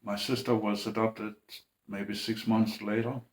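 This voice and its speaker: A man speaking in a halting, hesitant way, with restarts and long pauses, in a personal monologue. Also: fairly low pitch, level tone, poor audio. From Greenland. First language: Danish.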